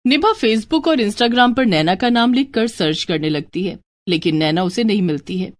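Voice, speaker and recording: A woman's voice: medium pitch, lively intonation, moderate pace, no echo. A woman narrating a scripted moral bedtime story as storyteller.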